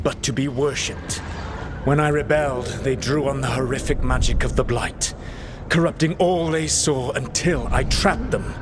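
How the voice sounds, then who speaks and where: An actor playing an ancient male elven rebel god, measured and precise, with dry sarcasm. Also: medium pitch, close to an everyday voice. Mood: grave, sardonic. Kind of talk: video game dialogue. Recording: game audio with steady background noise.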